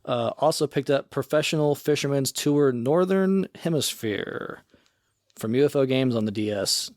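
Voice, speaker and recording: A man co-hosting a casual podcast, talking in a relaxed, rambling way with some swearing. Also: mid-range voice, moderate pace, no room sound, clean studio sound. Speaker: podcast co-host. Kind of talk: casual chat.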